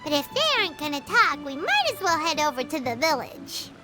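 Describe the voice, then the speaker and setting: A woman's voice: high-pitched, sing-song, fairly quick. A woman voicing a tiny, chirpy floating fairy companion who speaks of herself in the third person, in a video game. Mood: curious. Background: game music.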